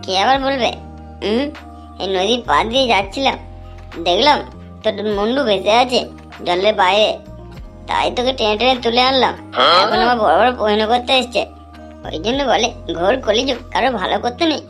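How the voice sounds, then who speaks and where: A woman's voice: on the high side, fairly quick, pauses often, a bit bright, faint music behind, lightly acted. A woman voicing a blunt, foul-mouthed young village clothes seller in a cartoon, given to grumbling and scolding. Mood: irritated scolding. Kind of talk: cartoon dialogue.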